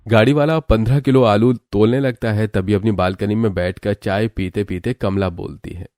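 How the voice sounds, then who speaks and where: A man narrates a cartoon tale in scripted storytelling style. His voice sits low, with a dark, mellow tone. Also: steady tone, moderate pace, no room sound.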